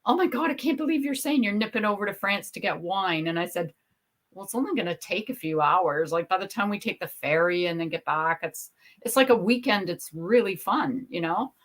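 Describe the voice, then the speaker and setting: A woman's voice: medium pitch, animated, brisk. Chatty woman with a mixed English-Canadian accent. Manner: chatty, rambling, laughs often. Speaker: guest. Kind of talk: casual chat.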